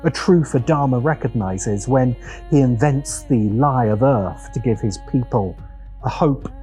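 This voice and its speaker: Mellow-voiced man narrating a video essay. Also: mid-range voice, a little slow, soft background music. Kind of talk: scripted narration.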